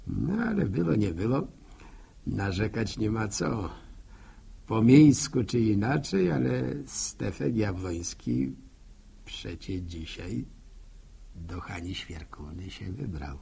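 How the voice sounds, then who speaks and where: An older man from the village muses in a rural Polish accent. His voice is low, gravelly and worn rough. He tells his radio-play tale slowly, folksy and wistful. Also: expressive, mellow, heavily acted. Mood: wry. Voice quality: gravelly, husky. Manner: slow, folksy musing. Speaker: narrator. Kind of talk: 1960s radio drama monologue.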